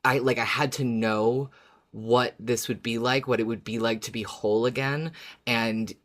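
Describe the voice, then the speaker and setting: Guy cohosting a fan podcast, earnest, kinda halting, repeats words to make the point. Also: medium pitch, fairly even intonation, a bit bright. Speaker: podcast co-host. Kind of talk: casual fan discussion podcast.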